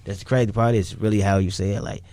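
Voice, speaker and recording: A man's voice: lowish voice, fairly even intonation, quick pace, mellow. A man chatting casually as a musician guest on a radio interview, slangy and repeating himself. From Chicago, United States. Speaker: musician guest, rapper and songwriter. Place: radio studio.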